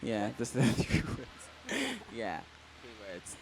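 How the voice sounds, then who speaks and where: A man with a Ghanaian accent, answering questions as the guest at a live talk. His pitch sits in the middle range, and he speaks loosely and casually, filling his pauses with "um" and "like.". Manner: relaxed, casual, many fillers like "um" and "like". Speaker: guest fashion designer. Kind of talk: interview answers.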